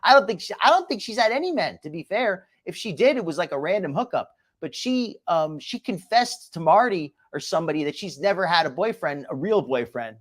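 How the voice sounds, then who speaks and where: Male host, slight New Jersey accent, sarcastic gossip, very lively pitch. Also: brisk, hardly any pauses. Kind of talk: mocking reaction commentary.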